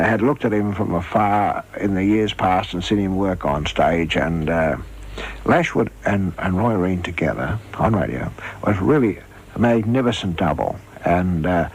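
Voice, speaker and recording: A man with a slightly low voice looks back in an interview. He tells his anecdotes in a measured way, often pausing to find the next phrase. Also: slightly rough, low-quality recording. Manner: halting, measured anecdote-telling. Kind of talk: reminiscing in interview.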